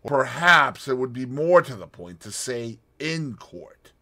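A cool, scornful society schemer, put on by a man reading aloud, unhurried and measured. Her lines come slow and level, with a bright, clear tone and lively turns. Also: mid-range voice, heavily acted.

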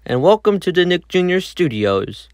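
A man's voice: sits high, big pitch swings, moderate pace, no echo, studio quality. A man acting out voice lines as a menacing, gleeful cartoon police dog, theatrical and taunting. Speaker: amateur voice performer. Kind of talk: acted cartoon villain voice lines.

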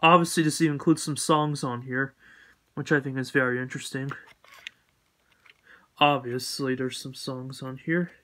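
A man giving a casual, unscripted collection update in a halting, repetitive, matter-of-fact way. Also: medium pitch, steady tone, pushed and bright. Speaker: collector showing purchases.

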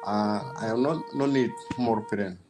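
A young man talking in simple, halting English on a video call while lying in bed, using a webcam mic. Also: on the low side, music in the background, rough recording. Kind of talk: casual video chat. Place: bedroom, lying against a pillow.

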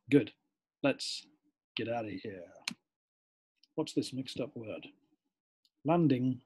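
A middle-aged man from England, giving a cheerful, expressive online lesson from a home room over a webcam. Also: mid-range voice, breathy edge. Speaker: English teacher. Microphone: webcam on a computer.

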